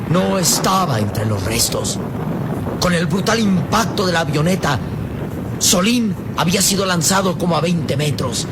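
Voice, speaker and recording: Loud storm and engine noise surrounds a man narrating a radio serial. His voice sits a little high, and he delivers each phrase gravely and theatrically.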